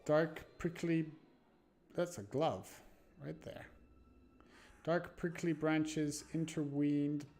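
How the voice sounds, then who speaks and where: A man live-streaming a game, reading horror narration aloud dramatically and haltingly, stumbling and correcting himself. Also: medium pitch, mellow, slight background noise. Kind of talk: live read-aloud of a horror visual novel with asides. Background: background music.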